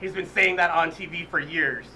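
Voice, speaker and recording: A young man from Orlando telling stories stand-up style in an animated, slangy, profane way. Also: on the high side, normal speed, slight background noise. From Orlando, Florida, United States. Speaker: stand-up comedian, guest. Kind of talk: stand-up storytelling and casual banter. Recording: echoey room, distant mic, crowd.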